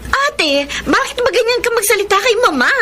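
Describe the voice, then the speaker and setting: A woman's voice: very high-pitched, expressive, a little fast, bright, forceful tone, a little hiss or hum, exaggerated voice. A Filipino woman playing an earnest, distressed young student daughter who keeps the peace in a family radio drama. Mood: pleading, upset. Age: young adult.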